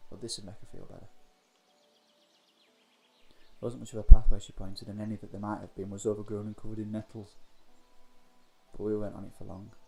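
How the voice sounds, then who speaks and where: A man's voice: lowish voice, warm tone, poor audio. A man reading a scripted first-person story as its narrator. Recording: music under the voice. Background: music.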